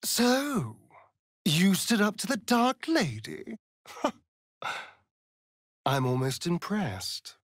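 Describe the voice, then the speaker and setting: Sardonic vampire rogue, flirtatious, theatrical, slow drawl, breathy rasp, game dialogue. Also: male voice, high voice, huge pitch swings, dry recording, studio quality, full character voice. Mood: smug, mocking. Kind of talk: video game character dialogue.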